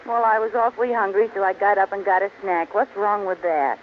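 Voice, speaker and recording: A woman's voice: mid-range voice, steady tone, a little fast, close to an everyday voice. A woman playing a scatterbrained, stubborn housewife in a 1940s radio sitcom, with theatrical comic delivery. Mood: sulky, defensive. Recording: noisy, muffled old radio recording.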